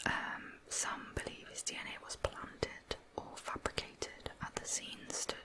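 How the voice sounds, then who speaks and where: Female ASMR host needed for true crime narration, delivered entirely in a breathy whisper with an informal, explanatory touch. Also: unhurried. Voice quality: unvoiced whisper.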